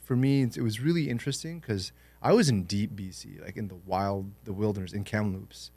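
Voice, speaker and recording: A young man born in Lebanon and raised in Canada, telling his life story in a relaxed, reflective way as a podcast guest. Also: on the low side, fairly quick, dry recording. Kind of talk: interview storytelling.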